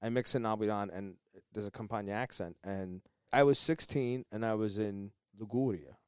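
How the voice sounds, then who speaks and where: A man's voice: fairly low pitch, normal speed, darkish tone, smooth, dry recording, clean studio sound. A man co-hosting a podcast, talking emphatically and giving opinionated advice in casual conversation. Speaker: podcast co-host.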